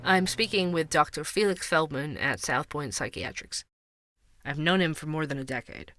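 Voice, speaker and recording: A man's voice: a little high, moderate pace, bright, forceful tone, slight background noise. A man playing an inquisitive, earnest true-crime podcast host, delivering scripted narration. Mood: informative.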